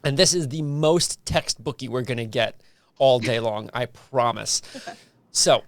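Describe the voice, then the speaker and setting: A man's voice: mid-range voice, measured pace, dry recording. A man hosting a casual interview podcast, chatting informally and explaining things as he goes. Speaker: podcast host.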